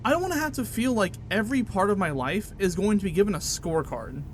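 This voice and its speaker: A man's voice: high voice, expressive, fast talker, a little hiss or hum. A man playing an evasive, defensive young boyfriend in a naturalistic radio drama. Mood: defensive, irritated.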